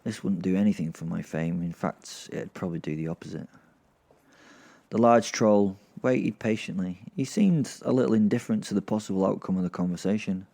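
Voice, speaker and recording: A man's voice: deep voice, brisk, creaky, dark tone. A man telling a story as podcast host, in an informal, rambling way. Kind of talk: first-person dramatic fantasy narration.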